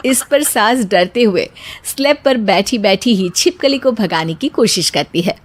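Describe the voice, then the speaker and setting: A woman's voice: mid-range voice, animated, unhurried. A woman narrating a scripted cartoon story.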